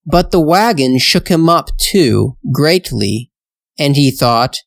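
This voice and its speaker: A narrator reading a children's storybook tale for language learners in a slow, deliberate, measured way. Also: mid-range voice, no room sound. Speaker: storyteller, English teacher.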